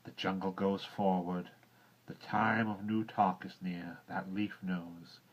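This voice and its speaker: Brief: wise teasing panther, man reading, low voice, slow drawl, muffled lo-fi sound. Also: level tone. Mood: sly drawl. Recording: dull lo-fi recording.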